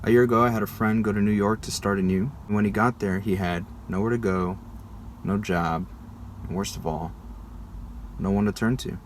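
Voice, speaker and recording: Young American man, vlog presenter. Low-pitched, conversational and informal, with faint ambient noise behind him. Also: steady tone, normal speed. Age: young adult. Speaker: video creator presenting a school project. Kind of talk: casual presentational vlog narration.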